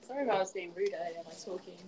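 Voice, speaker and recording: A woman's voice: lowish voice, very fast, a little mellow. A woman attending a tech camp, chatting casually and a little apologetically. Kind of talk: casual chat. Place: conference room at a tech camp.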